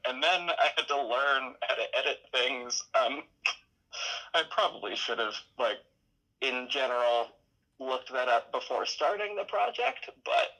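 A man with a bright, forward voice talks as a guest in a casual interview. He is halting and hesitant, working out his thoughts aloud as he goes. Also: mid-range voice, poor audio. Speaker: interview guest, audio drama creator.

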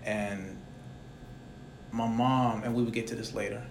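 A man's voice: on the low side, fairly even intonation, unhurried, background noise. A young man hosting a casual interview, talking in a rambling, reflective way. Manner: rambling, reflective, soft-spoken.

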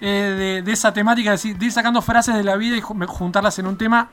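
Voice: medium pitch, fairly even intonation, fast talker, fairly bright tone. An interview host with an Argentine accent, chatting in a casual, playful way and putting questions to a guest. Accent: Argentine Spanish.

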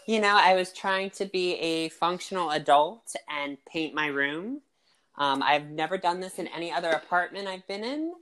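A man with a high-pitched voice, a podcast guest who talks expressively and laughs easily. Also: animated, normal speed, sharp tone, clean tone. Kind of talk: podcast conversation.

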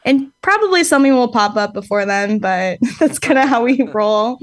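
A woman's voice: a little high, expressive, normal speed. A woman in her late twenties, a band's singer, chatting casually as a guest, rambling and reflective with many fillers and easy laughs. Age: late 20s. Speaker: band singer, podcast guest. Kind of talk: casual interview chat.

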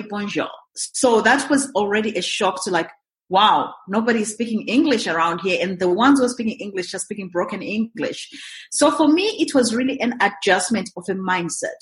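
A woman from Zimbabwe, a guest on an interview podcast, telling her story in a lively way and acting out her own past thoughts. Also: medium pitch. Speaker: guest, real estate investor. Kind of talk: interview answers.

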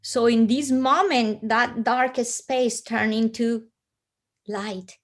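A woman with a Colombian accent, a guest earnestly telling a personal story with pauses and restarts. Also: fairly high pitch, steady tone.

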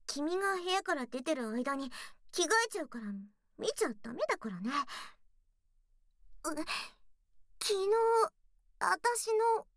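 A woman's voice: very high voice, very animated, a little slow, long pauses, no room sound, exaggerated voice. A woman playing a bashful, secretly clingy tsundere young girlfriend in an intimate ASMR voice drama, flustered and pouty, close to the microphone. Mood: pouty, embarrassed. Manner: flustered, stammering, pouty, softening into breathy murmurs. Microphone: close binaural microphone, dry studio sound.

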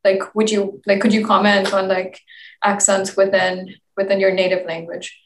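A woman's voice: medium pitch, flat delivery, moderate pace. A young woman leading a casual group discussion, talking in a chatty way and saying "like" a lot. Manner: chatty, heavy use of "like". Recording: echoey room, distant mic, faint noise.

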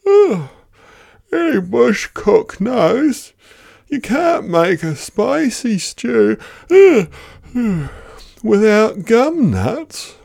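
A drowsy storybook koala played by a narrator with a high, loud, yawny drawl. He draws his words out slowly and knowingly. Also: sing-song, vocal fry, exaggerated voice. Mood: sleepy, knowing. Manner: yawning, drawling. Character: sleepy, yawning male koala bush cook.

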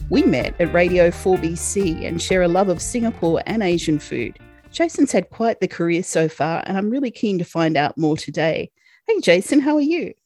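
A woman's voice: on the low side, a little mellow. Woman, podcast host, friendly short questions, faint music bed. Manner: friendly, quick to laugh along, short prompts. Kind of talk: podcast interview.

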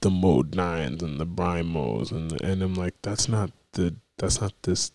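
A man's voice: deep voice, a little slow, darkish tone, no echo, studio quality. A man co-hosting a podcast in casual chat, laid-back and rambling, getting sidetracked. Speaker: podcast co-host.

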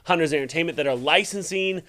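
A man co-hosting a tabletop gaming news show, talking through the news conversationally with halting phrasing and repeated words. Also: on the high side, sharp tone.

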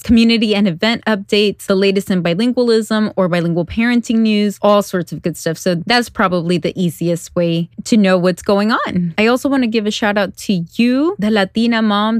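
A woman in her forties hosting a podcast in casual solo chat, bubbly and quick to laugh, dropping Spanish phrases into her English. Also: mid-range voice, no echo, studio quality. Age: 40s. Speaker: podcast host.

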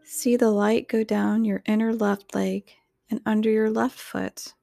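A woman reading a scripted guided meditation in a soothing, measured, instructional way, with a soft voice that turns breathy at phrase ends. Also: medium pitch, flat delivery, no echo, studio quality.

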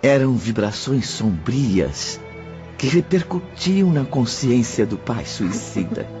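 A man's voice: mid-range voice, big pitch swings, slow, warm tone, dull audio, faint music behind. A man playing the sombre storyteller of a radio drama, narrating in a scripted dramatic style. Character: sombre third-person storyteller.

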